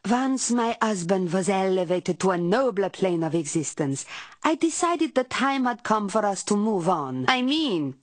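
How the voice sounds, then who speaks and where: Haughty, bossy vampire's bride: animated, dry close sound, prim comic game character. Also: female voice, medium pitch, measured pace, dry recording. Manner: superior, prim, domineering. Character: haughty, bossy vampire's wife with grand airs. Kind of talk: comic video game voice acting.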